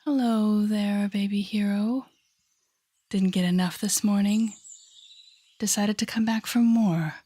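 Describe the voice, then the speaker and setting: A woman playing a sardonic, menacing telepathic villain in an audio roleplay, mocking and theatrical with deliberate pauses and a husky, breathy voice. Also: mid-range voice, fairly even intonation, mellow. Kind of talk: audio roleplay acting addressed to the listener.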